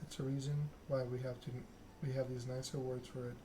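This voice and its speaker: An aloof, scheming vampire lady as a man reads her for ASMR speaks formal game dialogue with deliberate condescension. The pitch is middling and barely varies, over clearly audible noise. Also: flat delivery, lightly acted. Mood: wry, patient.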